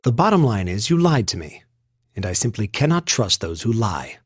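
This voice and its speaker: Stuck-up young student from an anime game, stiff n curt, big pitch swings, dry sound. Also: male voice, big pitch swings, moderate pace, husky, no room sound, soft background music. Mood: cold, offended. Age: young adult. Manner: curt, formal, haughty. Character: stiff, proud, resentful young male student. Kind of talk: English anime-style game voice acting.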